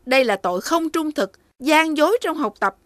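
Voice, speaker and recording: A woman's voice: sits high, expressive, normal speed, no echo, studio quality. A woman voicing a stern cow schoolteacher in a children's cartoon, scolding and instructive. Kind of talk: animated children's cartoon acting. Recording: faint music behind the voice.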